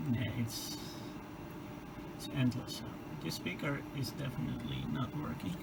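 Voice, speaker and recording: A man giving a hands-on repair demonstration, muttering haltingly and tentatively through each step as he thinks aloud. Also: mid-range voice, vocal fry, very noisy. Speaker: host of a radio repair video. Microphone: handheld camera.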